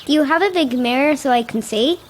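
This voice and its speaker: A woman's voice: high voice, brisk, slight background noise, close to an everyday voice. A female performer playing an innocent, shy little girl, speaking artlessly in a naturalistic audio drama. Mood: innocent, chatty. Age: child. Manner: artless, plain-spoken. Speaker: child character in audio horror drama. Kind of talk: naturalistic radio drama acting.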